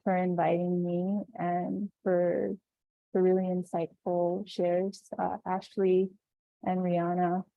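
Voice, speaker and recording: A woman from Kuwait presenting on a video call, speaking softly and reflectively with many fillers. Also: mid-range voice, dry recording. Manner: soft-spoken, reflective, with frequent fillers. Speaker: invited speaker. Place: home in New Mexico. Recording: dry, phone-like video call audio.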